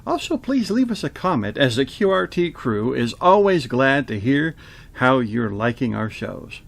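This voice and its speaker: A man's voice: medium pitch, big pitch swings, unhurried, gravelly. A man hosting a radio theater show, reading announcements and trading remarks. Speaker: announcer and host.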